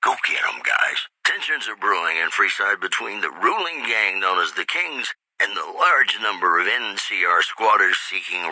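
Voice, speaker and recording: A man's voice: lowish voice, sharp tone, no echo, exaggerated voice, rough recording. A man playing a suave radio DJ and newscaster in a post-apocalyptic video game, reading scripted bulletins with a showman's crooning charm. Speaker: radio host and news announcer. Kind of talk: scripted radio news bulletins, retro lounge-DJ patter.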